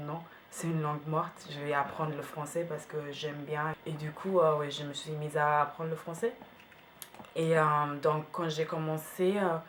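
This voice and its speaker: A woman's voice: low-pitched, a bit bright, a little hiss or hum. A young woman from Germany casually talking about her languages, thinking aloud with many fillers. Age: young adult. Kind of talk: casual multilingual self-introduction. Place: her home in France.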